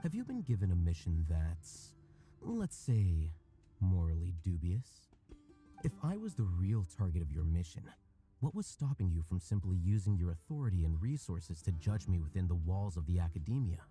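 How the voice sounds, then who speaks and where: An actor playing an aloof, sardonic male scholar in a video game, speaking calmly and precisely with dry irony. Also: low voice, moderate pace, soft background music. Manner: calm, dry, ironic, precise. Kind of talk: video game cutscene voice acting.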